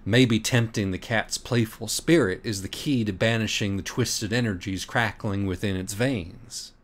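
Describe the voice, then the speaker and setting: A man's voice: fairly low pitch, measured pace. A man reading scripted comic narration as an audiobook reader, in a mock-dramatic, scornful, profane manner.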